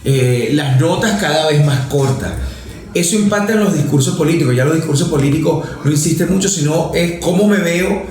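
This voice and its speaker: A man's voice: a little high, fairly quick, a little mellow. Lecturing Venezuelan man in echoey room. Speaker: professor and politician, guest. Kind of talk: discursive political-history explanation in conversation.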